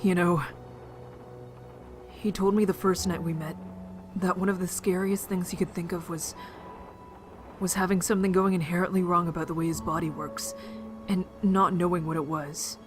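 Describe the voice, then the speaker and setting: A woman's voice: fairly low pitch, fairly even intonation, normal speed, long pauses, over music, natural voice. A woman playing an encouraging young survivor in an amateur audio drama, reasoning in a reassuring, patient way. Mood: earnest.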